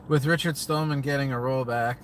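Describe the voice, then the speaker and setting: A man's voice: mid-range voice, steady tone, moderate pace, fairly bright tone, a little hiss or hum. Rambling young man streaming from his car. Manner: rambling, self-talking, scattered. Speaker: livestreamer. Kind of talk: casual livestream chat. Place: inside a parked car at night. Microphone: phone camera at arm's length.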